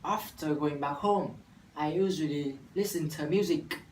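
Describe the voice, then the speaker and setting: A man's voice: a little high, a little slow. A young Vietnamese man in his late teens speaks in careful, rehearsed English with a Vietnamese accent, restarting phrases now and then. He sounds distant from the mic in an echoey room. A faint hiss sits under the voice. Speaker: university student. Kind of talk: scripted classroom dialogue practice.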